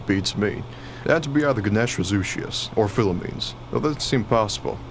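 A man's voice: on the low side, animated, a little fast. A man playing a wry, grumbling, baffled working husband who is short of money, in a radio play. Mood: puzzled. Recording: noisy recording, vehicle-like ambience.

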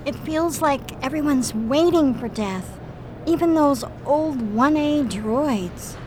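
An earnest android sidekick in a radio drama. She has a high voice and takes her words slowly, sounding gentle and sincere. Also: slight background noise. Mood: curious. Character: caring, earnest female android partner of a detective. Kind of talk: audio drama acting.

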